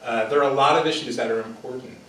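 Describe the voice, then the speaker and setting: A man's voice: medium pitch, fast, fairly bright tone, slight background noise. A man running for city council, giving an earnest opening statement with frequent fillers. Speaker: political candidate. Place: theater stage before a live audience. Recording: echoey theater from far off.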